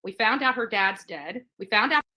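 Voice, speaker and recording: A woman with a fairly high, very bright and forceful voice hosts a livestream rant. She speaks combatively and emphatically, hammering points home by repeating phrases. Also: animated, moderate pace. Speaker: livestream host. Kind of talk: livestream commentary rant to viewers.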